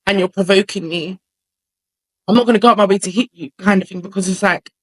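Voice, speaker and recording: A man's voice: sits high, steady tone, quick pace, fairly bright tone, rough recording. A young man with a feminine voice, talking at length as an interview guest in a casual, rambling way. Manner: chatty, rambling, explains at length with filler phrases.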